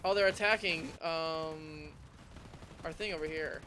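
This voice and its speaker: Higher-voiced man streaming a game, gunfire behind. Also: a little slow, background noise. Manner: thinking aloud in short remarks. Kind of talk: casual live gameplay commentary.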